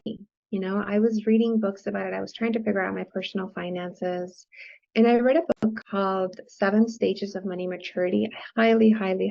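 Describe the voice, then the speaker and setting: A woman raised on the US-Mexico border whose first language is Spanish talks with lively enthusiasm, explaining ideas and checking in with "right?". Her voice carries a gravelly fry. The lo-fi podcast audio sounds dull. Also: mid-range voice. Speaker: guest, financial coach. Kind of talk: conversational interview.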